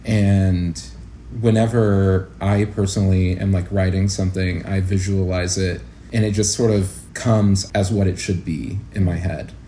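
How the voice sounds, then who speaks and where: Male podcast guest, low and mellow, reflective, informal delivery, faint background noise. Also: level tone, measured pace. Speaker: interview guest, actor-producer and educator. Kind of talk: casual podcast interview.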